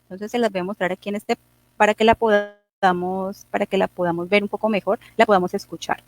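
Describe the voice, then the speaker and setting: A woman's voice: medium pitch, steady tone, fairly quick. A woman presenting at a forum who guides the audience through material, with fillers. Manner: guiding, with fillers "eh", "entonces".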